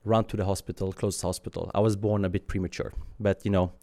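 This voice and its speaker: A man in his thirties from Sweden talks in a deep, low voice. He answers in a thoughtful, halting way, full of fillers and restarts. The sound is close and dry. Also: little pitch movement, vocal fry, mellow, no echo. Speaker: guest. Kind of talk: interview answers.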